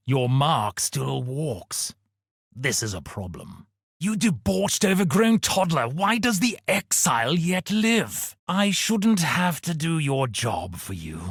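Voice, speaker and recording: An actor playing a pompous, scheming aristocratic villain in a video game, haughty, condescending and melodramatic. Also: male voice, medium pitch, fairly bright tone, slightly rough, no echo, studio quality, exaggerated voice. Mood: exasperated, scolding.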